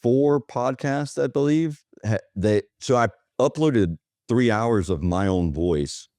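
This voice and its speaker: A man's voice: medium pitch, takes it slowly, darkish tone, no room sound, studio quality. A man talking casually as a podcast guest, in a plainspoken, anecdotal way. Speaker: podcast guest, automation agency owner. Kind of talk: casual conversational interview talk.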